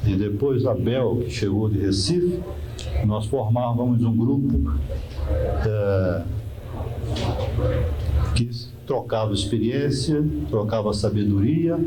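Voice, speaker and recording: Slow, measured elderly Brazilian man. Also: fairly low pitch, a crowd in the background, low-quality recording. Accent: Northeastern Brazilian Portuguese. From Northeast Brazil. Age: 80s. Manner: slow, measured, reflective with pauses. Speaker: architect recounting memories. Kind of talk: reflective oral-history interview.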